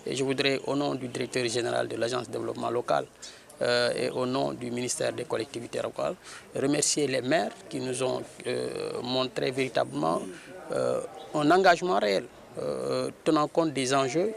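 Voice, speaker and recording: Man, Senegalese French accent, heavily gravelly mid-pitched voice, formal and halting official statement. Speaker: local development agency official. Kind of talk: interview statement to press.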